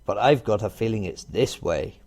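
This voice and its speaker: A man's voice: on the low side, normal speed, a little mellow. A man voicing a small, helpful, scatterbrained squirrel in a children's storybook, innocent in manner. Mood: unsure.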